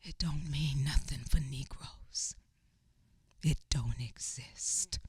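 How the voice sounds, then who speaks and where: Slow, dramatic woman storyteller. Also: breathy edge, dry recording. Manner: slow, halting, with repeated words and sudden swings in pitch and loudness; drops to a whisper at times. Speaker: narrator in a radio play. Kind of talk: dramatic spoken-word storytelling.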